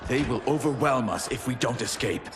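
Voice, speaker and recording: An actor playing a noble, resolute emperor hero who speaks formally and earnestly in a video game. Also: male voice, mid-range voice, fairly quick, noisy background, lightly acted. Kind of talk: video game cutscene acting.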